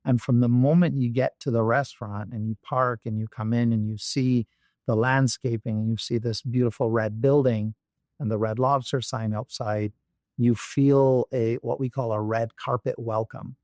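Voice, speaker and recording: A man's voice: mid-range voice, fairly even intonation, normal speed, a little mellow, no echo. A man from Barbados, a company executive, speaking as an interview guest in a thoughtful way with many fillers and restarts. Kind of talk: interview conversation.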